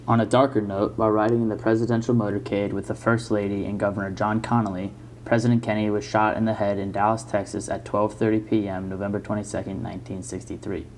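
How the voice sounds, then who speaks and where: Male newsreel narrator, flat delivery on the low side, slight background noise. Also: low-quality recording.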